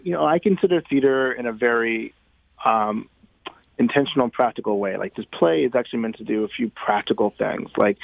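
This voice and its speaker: A dry, band-limited remote line carries an American man's voice with noticeable creak. He talks conversationally as an interview guest, feeling out his phrases in a halting, thoughtful way. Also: mid-range voice, dry recording. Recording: muffled, phone-like remote line.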